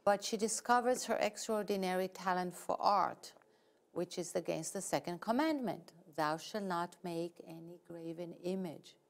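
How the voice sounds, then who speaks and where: A novelist from Israel with an Israeli accent, a woman explaining her work in an interview in a measured, precise way. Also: on the low side. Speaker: guest novelist. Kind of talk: interview answers.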